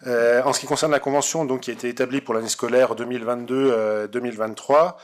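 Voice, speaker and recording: A man giving a formal, semi-improvised council report in a halting, hesitant way. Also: medium pitch, steady tone. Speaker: city councillor.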